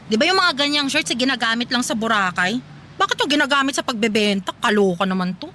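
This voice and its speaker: A woman's voice: fairly high pitch, animated, quick pace, sharp tone, slight background noise. An actress playing a proud, jealous woman who runs a small eatery, with a sassy, dramatic way of talking in a radio drama. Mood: scornful. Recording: music playing behind.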